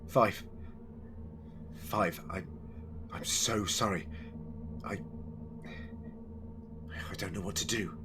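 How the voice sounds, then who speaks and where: A man playing an anguished, earnest, protective time-traveller hero in an audio drama, halting when distressed. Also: on the low side, huge pitch swings, noisy background, exaggerated voice. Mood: remorseful.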